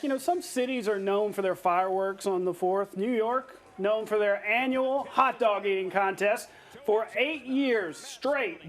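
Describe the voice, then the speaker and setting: A man anchoring a television sportscast in a playful, conversational way, reading highlights and bantering with a co-host. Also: a bit bright. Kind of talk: upbeat scripted sportscast narration with banter.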